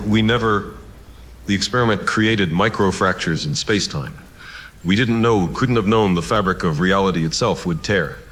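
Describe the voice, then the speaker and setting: A man playing a shaken, guilt-ridden physicist who speaks haltingly in an audio drama interview. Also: fairly low pitch, a bit bright. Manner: halting, stammering, awed.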